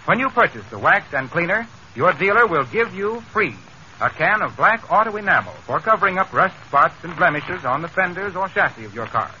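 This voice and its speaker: Piercingly bright 1930s American radio pitchman. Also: big pitch swings, brisk. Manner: polished, emphatic sales delivery. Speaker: radio announcer. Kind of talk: 1930s radio commercial announcing. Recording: muffled, noisy vintage radio broadcast.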